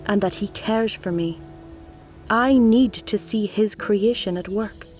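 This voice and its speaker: A woman reading a literary story aloud in a measured, deliberate way, as a scripted narration. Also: mid-range voice, a little mellow. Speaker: actor reading a nominated story.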